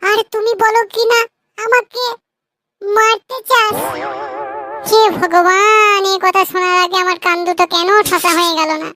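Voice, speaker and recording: A performer voicing a cheeky young nephew in a cartoon comedy, shrill and childlike, glib and theatrically mock-earnest. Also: fairly even intonation, normal speed, pushed and bright, faint music behind. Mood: indignant. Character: cheeky young nephew teasing his old uncle.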